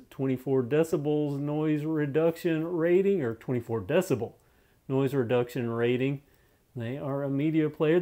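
A man's voice: a little high, mellow. A man giving a casual, unscripted product review, thinking aloud and repeating phrases for emphasis in a conversational way.

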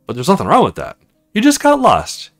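A man's voice: sits high, wildly swooping pitch, a little fast, soft background music, voice pushed up, full character voice. A man voicing a stern, teasing female officer in a visual novel, matter-of-fact and commanding. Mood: matter-of-fact, bargaining. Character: stern, teasing military woman officer in charge.

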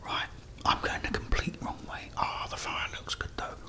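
A middle-aged British man whispering a hushed ASMR commentary as he plays a video game. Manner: hushed, slow running commentary.

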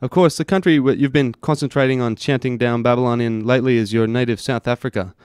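A young man conducts a radio interview. He talks quickly in a smooth, clear voice at a medium pitch. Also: steady tone, darkish tone, no echo. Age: early 20s.

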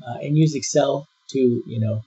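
A man giving a screen-recorded software tutorial, walking through each step in a relaxed way with frequent fillers. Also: mid-range voice, moderate pace, slightly rough. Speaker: software instructor. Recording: dull lo-fi voice-over.

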